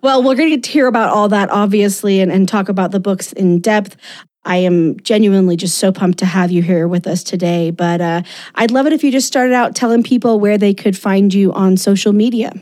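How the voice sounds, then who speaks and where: A woman's voice: mid-range voice, fairly quick, a little mellow. A woman co-hosting a podcast in casual chat, bubbly, enthusiastic and jokey. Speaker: podcast co-host.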